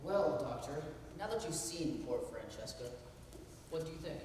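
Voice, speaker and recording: A man's voice: on the high side, lively intonation, moderate pace, a little mellow, lightly acted. Man as an impatient, scoffing brother, far off in an echoey noisy hall. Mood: exasperated. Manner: brusque, exasperated. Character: dismissive brother, impatient and defensive. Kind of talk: stage play acting.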